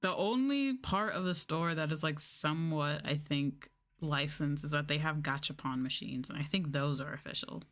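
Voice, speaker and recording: A woman streamer chatting casually in a soft-spoken ASMR style, rambling and swearing as she goes. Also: low voice, normal speed, hardly any pauses. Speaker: VTuber streamer.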